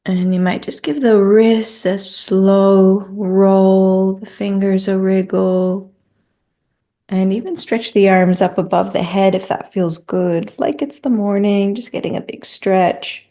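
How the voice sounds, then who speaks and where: Slow, gravelly-edged woman guiding meditation. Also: medium pitch, fairly even intonation. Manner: gentle, soothing, unhurried with long phrases. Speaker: meditation guide. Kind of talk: guided meditation.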